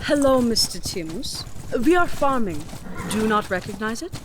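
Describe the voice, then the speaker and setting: A woman playing a composed, defiant young village farmer in a fantasy audio drama, speaking formally with polite, measured sarcasm. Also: on the high side, big pitch swings, background noise. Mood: calm defiance. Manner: measured, formal, politely sardonic, uncontracted speech.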